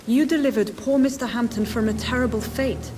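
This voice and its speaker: A woman playing a no-nonsense hospital nurse in a video game, with a brisk, formal, slightly curt manner. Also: a little high, lightly acted. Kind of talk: dramatic video game acting. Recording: slightly distant, music behind.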